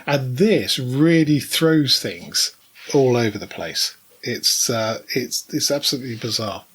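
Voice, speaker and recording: A middle-aged or older British man co-hosting a casual podcast, telling anecdotes in a measured way with wry asides. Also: medium pitch, expressive, a bit bright, breathy edge. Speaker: podcast co-host.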